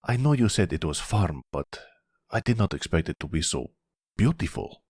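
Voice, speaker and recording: A man voicing an excitable, naive and blunt boy in an audio roleplay, stammering and gushing. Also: deep voice, moderate pace, husky, no room sound, studio quality, exaggerated voice. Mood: awed, excited.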